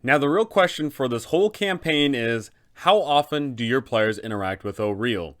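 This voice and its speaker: A man with a clean, smooth voice at a middle pitch presents advice for tabletop game masters. His delivery is scripted and emphatic, built on deliberate phrases and rhetorical questions. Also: animated. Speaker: host, game master advisor. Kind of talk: scripted explainer on running a tabletop campaign.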